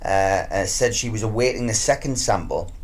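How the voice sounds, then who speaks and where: A man's voice: on the low side, fairly quick. A man on sports radio giving football news reports mixed with informal comment.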